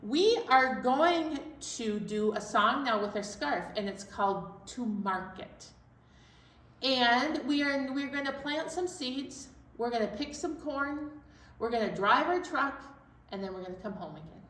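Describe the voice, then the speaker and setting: A woman presenting a children's storytime in a playful, animated way, moving between chatty talk and sing-along. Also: a little high, a little slow. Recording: very echoey room, distant mic, faint noise.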